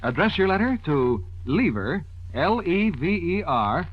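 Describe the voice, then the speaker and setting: A man's voice: a little high, very animated, unhurried. An American man announcing an advertisement in 1940s radio style. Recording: dull, faintly noisy old radio recording.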